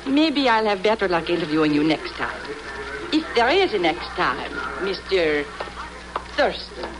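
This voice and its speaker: A woman's voice: lowish voice, sing-song, slow pace, pauses often, music in the background. A poised, lilting lady reporter. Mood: coy, probing. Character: poised, sly female freelance newspaper reporter. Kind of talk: 1950s radio acting. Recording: noisy old 1950s radio recording.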